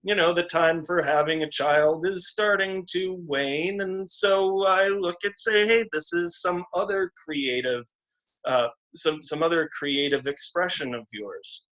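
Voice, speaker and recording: A man giving a slow, deliberate, encouraging astrology reading on a video call. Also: on the high side, fairly bright tone. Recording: dull lo-fi video call audio.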